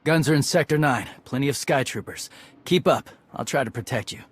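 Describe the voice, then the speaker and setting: An actor playing a stoic, loyal warrior scout in a video game, terse and matter-of-fact with a husky voice. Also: male voice, mid-range voice, brisk, natural voice. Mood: terse, resolute.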